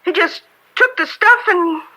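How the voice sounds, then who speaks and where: A woman's voice: very high voice, unhurried, sharp tone, full character voice. A woman acting in a radio drama as a sheepish small-time American drug pusher, hesitant and streetwise on the witness stand.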